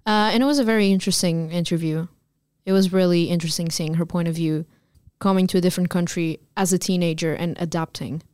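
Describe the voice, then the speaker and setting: A young woman from Portugal, whose first language is Portuguese, talks casually as a student guest. Her voice is clean and slightly low, recorded dry and close. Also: fairly even intonation, quick pace, a little mellow, smooth, no room sound. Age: young adult. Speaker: podcast guest, exchange student. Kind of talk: casual chat.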